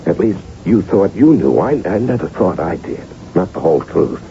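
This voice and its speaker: An actor playing an anxious, melodramatic American married man in a 1980s radio drama, halting and stammering yet insistent. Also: sits low, sing-song, dark tone. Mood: grave, insistent. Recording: dull, noisy old radio broadcast.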